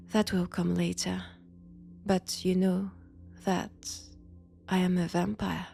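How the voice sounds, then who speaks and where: A woman with a French accent playing a menacing, seductive female vampire in an intimate roleplay audio drama, softly taunting and often whispering. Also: mid-range voice, vocal fry. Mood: gloating, menacing.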